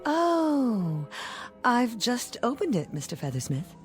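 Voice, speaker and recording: An actress playing a mysterious travel agent in an audio drama, courteous, coaxing and knowing. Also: female voice, on the high side, very animated, slow pace, music in the background. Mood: smoothly inviting. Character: suave, mysterious female travel agent, sly tempter.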